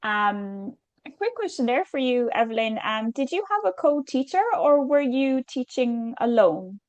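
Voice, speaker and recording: A woman's voice: fairly high pitch, big pitch swings, a little slow, no room sound, muffled recording. Chatty Irish woman hosting a webinar. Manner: chatty, filler-heavy, reassuring. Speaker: webinar host. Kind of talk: webinar Q&A, reading out questions.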